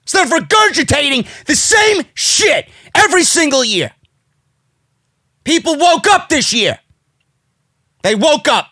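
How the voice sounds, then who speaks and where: A man's voice: very high-pitched, big pitch swings, a little slow, pauses often, bright, forceful tone, dry recording, studio quality. A man with a New York accent delivering a brash, profane, indignant rant as an opinionated wrestling commentary host, hammering his points with emphasis. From United States.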